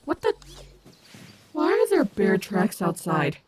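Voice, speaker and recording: A woman's voice: mid-range voice, wildly swooping pitch, slow, a little mellow, breathy edge, heavily acted. A woman playing a cocky, protective female animatronic wolf in an audio roleplay, confident, sassy and doting on the listener. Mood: exasperated. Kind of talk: fan audio roleplay acting, addressing the listener.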